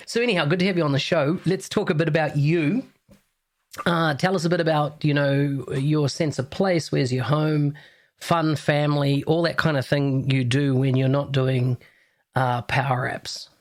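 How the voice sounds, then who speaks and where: A man's voice: a little high, steady tone, moderate pace. A man with a New Zealand accent hosting a podcast in a casual, chatty interview style. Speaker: podcast host.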